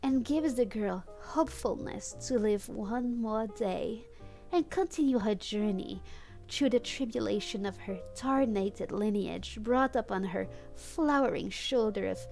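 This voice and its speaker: A woman streamer with English as a second language reads fan fiction at a slow, deliberate pace, her voice often creaky. She is amused and theatrical, dropping in casual asides over faint music. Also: mid-range voice, darkish tone. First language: not English.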